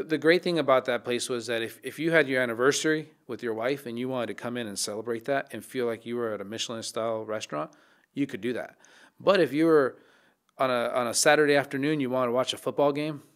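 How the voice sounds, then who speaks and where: A man in his thirties, a chef and podcast guest, talking in a reflective, casual way, often restarting his sentences, into a studio mic in a dark podcast studio. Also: medium pitch. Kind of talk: casual interview talk. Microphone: studio mic on boom arm.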